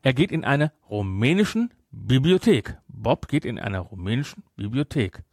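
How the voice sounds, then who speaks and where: A man's voice: mid-range voice, big pitch swings, moderate pace, a little mellow, no room sound, clean studio sound. A man speaking as a podcast host, discussing a film casually and emphatically, repeating his points to drive them home.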